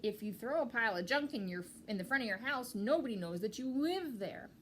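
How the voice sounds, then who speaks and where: A woman voicing a scrappy, cheerful, bumbling male pack rat from a storybook, loud and blunt with a breezy manner. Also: on the high side, lively intonation, brisk, slight background noise. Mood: breezy explaining.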